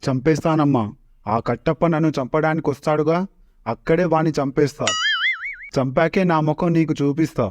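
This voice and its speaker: Guy doing a comic scheming royal in a cartoon spoof, bit high pitched, muffled audio. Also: steady tone, brisk, music in the background, lightly acted. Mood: confident, teasing. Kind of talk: comic cartoon parody dialogue.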